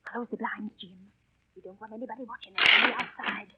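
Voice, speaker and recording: A woman's voice: a little high, level tone, fast, lightly acted. An actress playing an indignant, determined widowed innkeeper mother with a querulous, insistent manner in a 1930s radio drama. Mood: urgent, bossy. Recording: noisy, tinny 1930s radio recording.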